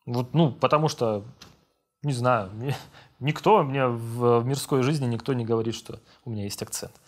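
A man's voice: medium pitch, moderate pace. Young man answering viewer questions. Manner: relaxed, self-deprecating, reads comments then answers. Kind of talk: casual Q&A vlog reading comments. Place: home room with monitors, indoors.

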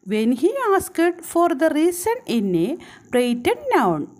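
A woman tells a children's story in a high voice that swings up and down. She goes very slowly and deliberately, shaping each phrase expressively like a teacher with young pupils. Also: big pitch swings, darkish tone. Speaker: trainee Montessori teacher, storyteller.

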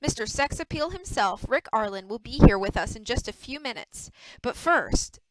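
A woman's voice: on the high side, expressive, moderate pace, a bit bright, slight background noise, poor audio. A woman voicing an upbeat, showy television announcer in an audiobook. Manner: showy, promotional. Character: upbeat television announcer of a soap opera show.

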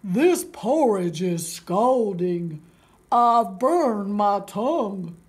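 A woman voicing a big, grumpy old papa bear in a children's storybook, blustery and booming. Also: animated, drawn-out words, darkish tone. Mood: outraged. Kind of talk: storybook read aloud for children.

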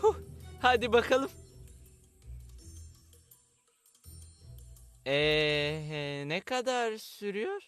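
An actor in a cartoon dub playing a glum, whiny young grandson with a grumbling delivery. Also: male voice, high-pitched, very animated, slow pace, a bit bright, clear, resonant tone, exaggerated voice. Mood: impatient, complaining. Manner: grumbling, complaining. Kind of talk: animated cartoon dub acting. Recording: faint music behind.

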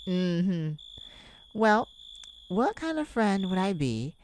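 A woman's voice: mid-range voice, a little mellow, a little hiss or hum, full character voice, low-quality recording. A woman voicing a sassy, kind-hearted cat friend in an ASMR roleplay, theatrical and teasing as she scolds the listener in a motherly way. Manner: theatrical, teasing, drawn-out emphasis. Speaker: audio roleplay performer.

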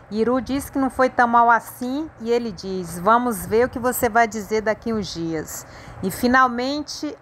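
A woman from Brazil, reading a soap opera plot summary in a brisk, even way. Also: on the high side, a little hiss or hum. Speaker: soap opera recap narrator. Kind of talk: read-aloud plot summary.

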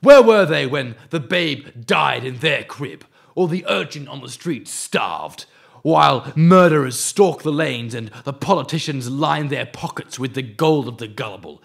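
A man's voice: fairly high pitch, expressive, measured pace, creaky, a bit bright, full character voice. A man playing a zealous, commanding mantis-man cult leader in an audio drama, oratorical and imperious. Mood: grandiose preaching.

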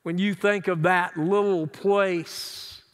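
A man's voice: sits high, fairly even intonation, slow. A middle-aged male pastor preaching an earnest, emphatic sermon from his seat in a large church sanctuary. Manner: earnest, emphatic preaching with wide hand gestures. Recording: long church hall reverb.

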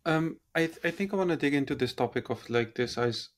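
A man's voice: medium pitch, lively intonation, a little fast. A man hosting a podcast, asking probing questions over a video call from his home and rephrasing them as he goes. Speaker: podcast host. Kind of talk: interview questions. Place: room at home, indoors. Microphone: laptop on a video call.

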